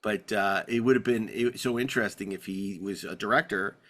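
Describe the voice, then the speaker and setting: A man hosting a podcast in casual discussion, telling rambling anecdotes with halting, repeated words. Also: lowish voice, fairly bright tone. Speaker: podcast host. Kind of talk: casual panel discussion of classic TV.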